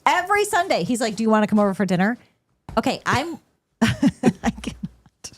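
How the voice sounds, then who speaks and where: Chatty, animated woman co-host. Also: fairly high pitch, moderate pace. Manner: chatty, laughing, teasing. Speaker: co-host reading chat comments. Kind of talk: casual chat.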